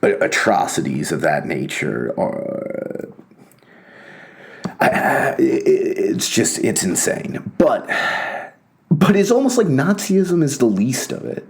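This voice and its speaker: A man talking off the cuff in a rambling, halting way, full of fillers and restarts, with a wry self-mocking streak. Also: medium pitch, sing-song, gravelly. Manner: wry, laughs at himself. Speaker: commentator on books and ideas. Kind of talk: casual monologue.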